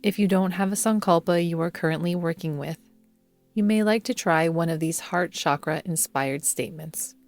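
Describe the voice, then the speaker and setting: A woman guiding a yoga nidra meditation in a soothing, measured manner, leaving long pauses between phrases. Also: on the low side, dry recording. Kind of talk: guided meditation narration. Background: background music.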